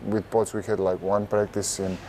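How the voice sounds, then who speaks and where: A young man from Croatia, a Croatian-speaking basketball player, answering in an interview in a large indoor arena on a lapel mic, halting and repeating words as he searches for phrasing. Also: on the low side, level tone, darkish tone, a little hiss or hum, poor audio. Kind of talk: casual interview.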